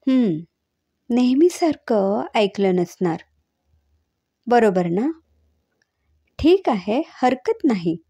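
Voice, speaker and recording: A woman's voice: fairly high pitch, big pitch swings, slow pace, pauses often, dark tone, husky, exaggerated voice. A woman voicing a stern, sarcastic old grandmother who scolds and commands in a family story. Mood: angry, sarcastic.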